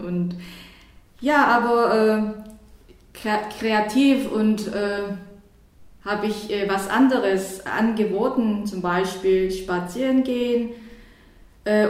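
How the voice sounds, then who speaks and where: A woman's voice: mid-range voice, very echoey. A woman from South Korea with a Korean accent, a church worker answering interview questions in a hesitant way, with many fillers and restarts.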